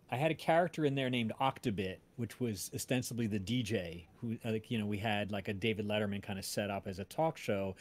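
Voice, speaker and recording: A man telling a story casually on a livestream, rambling and often interrupting himself. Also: fairly low pitch. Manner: rambling, halting, self-interrupting. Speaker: podcast creator and voice-drama maker.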